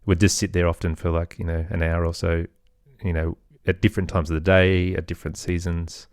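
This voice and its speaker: A man's voice: sits low, flat delivery, fairly quick, a little mellow, clean tone, no room sound. A middle-aged man giving reflective, loosely phrased answers as a guest in an interview. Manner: reflective, loosely phrased, hedging with "sort of" and "kind of". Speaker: podcast guest, musician and storyteller.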